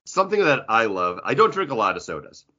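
A man's voice: mid-range voice, very animated, fast talker, pushed and bright, dull audio. A man chatting casually and bantering as a podcast co-host. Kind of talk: casual chat.

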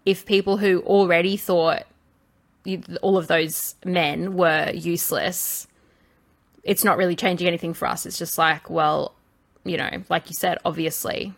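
A woman's voice: fairly low pitch, steady tone, measured pace, gravelly. A woman with an Australian accent co-hosting a podcast in casual chat, blunt and sweary. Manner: blunt, swears, laughs easily. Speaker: podcast co-host.

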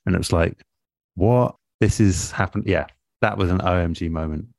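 A man chatting casually as an interview guest, telling anecdotes in a halting, informal, self-deprecating way. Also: low-pitched, vocal fry, darkish tone, no room sound, clean studio sound. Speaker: radio producer and interview guest.

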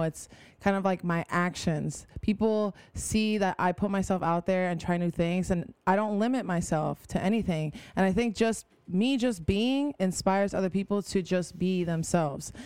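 A woman's voice: on the low side, unhurried, a little mellow, smooth, dry recording. A young woman guest on a podcast, talking enthusiastically in a rambling way with lots of "like.". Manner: enthusiastic, rambling, many "like" fillers. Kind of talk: casual conversation.

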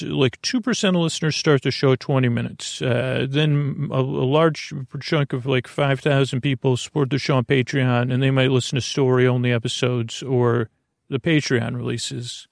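Creaky-voiced man rambling a bedtime monologue. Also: mid-range voice, steady tone, no room sound, studio quality. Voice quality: creaky, gravelly. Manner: meandering, halting, repeats words and drifts into whimsical tangents. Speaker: sleep podcast host.

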